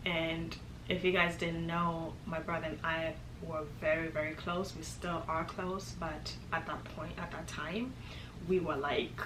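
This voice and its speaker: A young woman from Kenya telling a casual storytime, chatty and expressive, often laughing. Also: lowish voice. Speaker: vlogger. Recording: echoey room with background noise.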